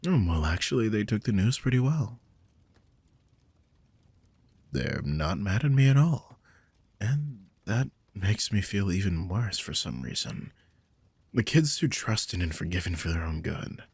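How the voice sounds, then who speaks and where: A man playing a guilt-ridden, tender supernatural protector in an intimate audio drama, speaking gently and hesitantly with a husky, breathy voice that slips toward whispers. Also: lowish voice, lively intonation, moderate pace, no room sound, heavily acted. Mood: weary, worried. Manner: gentle, hesitant, sighing delivery.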